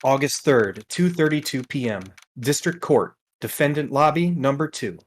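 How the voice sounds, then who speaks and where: A woman's voice: a bass voice, expressive, moderate pace, slight background noise. A woman voicing a nervous, earnest young male rookie defense lawyer in a video game. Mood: sober, narrating. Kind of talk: video game dub with inner narration.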